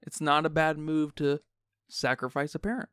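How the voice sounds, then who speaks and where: A man's voice: fairly high pitch, flat delivery, normal speed, a bit bright, smooth, dry recording. A man co-hosting a podcast, casually giving his opinions on films with dry humour. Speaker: podcast co-host. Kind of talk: casual film discussion.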